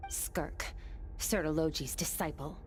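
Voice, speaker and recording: Cool, confident swordswoman: lowish female voice, terse delivery, game drama under prominent music. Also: takes it slowly. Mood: cool, curious. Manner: terse, self-assured. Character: cool, composed, confident female warrior disciple. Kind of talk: dramatic video game acting.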